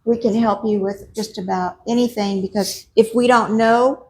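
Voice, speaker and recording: A woman with a low speaking voice, introducing herself quickly and checking in with the audience. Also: fairly even intonation, slight background noise. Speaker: master gardener presenter.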